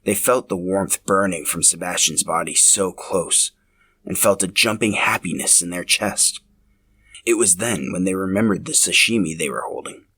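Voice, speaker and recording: A man reading scripted story narration in an expressive, dramatic storyteller's manner. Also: sits low, moderate pace, slightly rough, no room sound. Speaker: podcast host telling a story.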